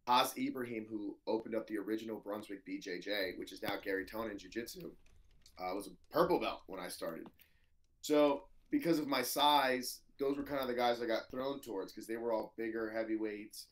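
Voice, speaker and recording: A man in his thirties with a hoarse voice, a jiu-jitsu professor telling his story as a guest in a casual interview, loose and full of fillers. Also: on the low side, moderate pace. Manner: loose, filler-heavy storytelling, swears freely.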